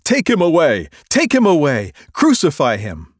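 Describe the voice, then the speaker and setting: A man voicing an angry crowd of priests and officials in a Bible reading, shouting insistently. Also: a little high, big pitch swings, normal speed, no room sound, studio quality, pitched above the performer's own voice.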